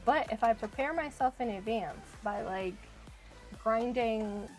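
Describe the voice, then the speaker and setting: Woman game streamer, slightly high-pitched, rambling casual chat over faint music. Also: unhurried, darkish tone, poor audio. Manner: chatty, thinks aloud, rambling with many "like"s. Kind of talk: casual live-stream chat while playing.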